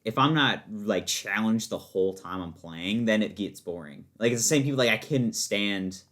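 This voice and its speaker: A man's voice: on the low side, moderate pace, fairly bright tone. A man in a casual conversation as a podcast guest, enthusiastic and opinionated, talking in slangy everyday language. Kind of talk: casual chat about video games.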